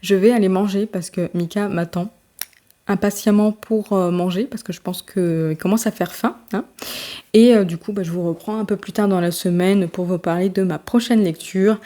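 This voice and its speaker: A woman talking casually to her viewers about her reading, her voice mellow and warm. She rambles, restarting her sentences and filling gaps with small hesitations, and sounds stuffy and worn out from a cold. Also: mid-range voice, steady tone, moderate pace. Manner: chatty, many fillers and restarts. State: congested with a summer cold, tired. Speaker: book vlogger. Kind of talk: casual vlog chat.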